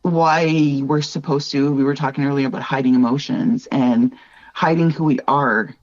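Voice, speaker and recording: A woman's voice: rumbling low, steady tone, normal speed, no echo, muffled recording. A middle-aged woman from Northern Ontario, a wildlife artist speaking earnestly in a candid interview, often tagging on "like" and "right?". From Northern Ontario, Canada. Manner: earnest, reflective, lots of "like" and "right?". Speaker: wildlife artist, podcast guest.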